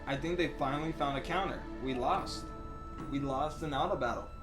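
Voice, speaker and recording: Casual male streamer over loud music. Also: mid-range voice, normal speed. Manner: informal, slangy. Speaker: game streamer. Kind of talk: casual gaming stream commentary. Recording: loud game music behind. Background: game music.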